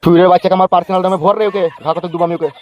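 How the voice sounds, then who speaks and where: A man voicing a bullying, mocking, foul-mouthed gang boss in an animated comedy. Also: high-pitched, racing, lightly acted. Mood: abusive, menacing. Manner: mocking, threatening, swaggering. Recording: dull lo-fi track, faint music.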